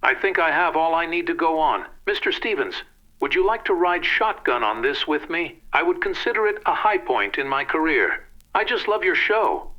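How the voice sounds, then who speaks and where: An eager police detective played by a man with a lively, slightly high voice and deadpan politeness. It sounds like a dull, band-limited radio drama recording. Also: normal speed. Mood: starstruck. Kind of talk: old-time radio detective acting.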